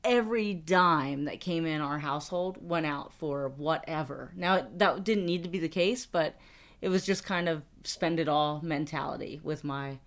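Woman, middle-aged, podcast co-host, casual chat, fairly low pitch. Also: moderate pace. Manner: chatty, laughs often, many restarts.

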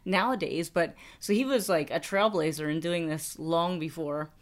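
Woman, middle-aged, podcast co-host, casual chat, fairly low pitch. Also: moderate pace. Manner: chatty, laughs often, many restarts.

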